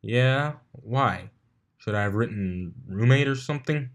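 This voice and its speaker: A man's voice: medium pitch, animated, a little slow, lightly acted. A man acts out a young male pegasus from a pony fan fiction. He is touchy and defensive, and speaks in an indignant, flustered way. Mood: puzzled. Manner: indignant, stammering. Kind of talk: acted fan fiction dialogue.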